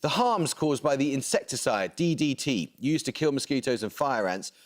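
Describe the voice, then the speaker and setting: A man hosting a television quiz, reading out questions and rulings in a brisk, formal, precise manner. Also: mid-range voice, sing-song. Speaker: quizmaster.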